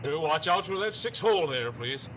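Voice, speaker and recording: A man's voice: a little high, expressive, moderate pace, close to an everyday voice. A man playing an easygoing, absent-minded newspaper owner who hems and repeats himself, in a 1950s radio drama. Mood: fussy, genial. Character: dithering, golf-mad male newspaper owner, easygoing. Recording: dull band-limited sound, noisy background.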